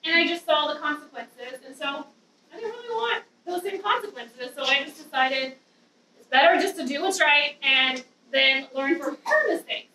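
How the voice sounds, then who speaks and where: A woman in her thirties nervously sharing a personal testimony to a youth group, with a loud voice and many ums. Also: high-pitched, expressive, normal speed, a little hiss or hum. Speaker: guest speaker giving a testimony. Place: dim church youth room with an audience. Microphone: handheld mic, far camera. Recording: roomy hall sound from a distance.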